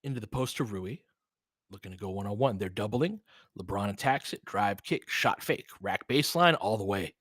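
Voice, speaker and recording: Male fan commentator for basketball livestream: bright, forward voice at an average pitch, dry close sound, informal and opinionated. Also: animated, normal speed, slightly rough, no room sound. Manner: informal and opinionated, clipped phrases during play, talking back to listeners. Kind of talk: casual fan play-by-play commentary mixed with chat.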